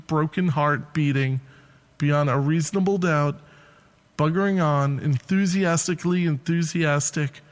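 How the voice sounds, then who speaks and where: A man giving a literary reading of poetic prose. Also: a little high. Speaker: reader of a literary piece.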